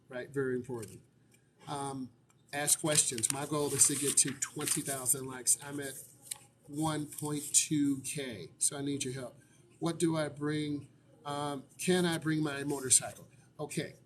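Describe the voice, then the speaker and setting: A man's voice: on the high side, a little hiss or hum. Chatty man answering questions live. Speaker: driving instructor. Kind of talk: casual live-stream Q&A.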